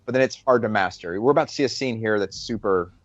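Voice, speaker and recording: A man's voice: fairly low pitch, quick pace. A man speaking casually as a game developer guest in an interview. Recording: dull, lo-fi audio.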